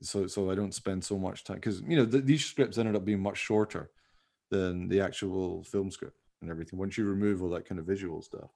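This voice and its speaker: A man's voice: sits low, steady tone, brisk, vocal fry, warm tone. A man in casual, informal conversation, talking in a rambling way as a podcast host. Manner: rambling, informal, often hedging with "you know". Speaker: podcast host, radio play writer. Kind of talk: casual chat.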